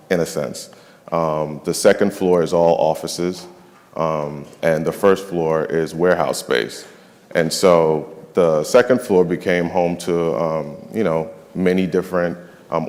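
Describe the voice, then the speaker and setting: A man's voice: low-pitched, darkish tone, long reverb tail. A young man from the Bahamas, a nonprofit finance and facilities staffer, speaking on a summit panel with many ums and restarts. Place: large event hall.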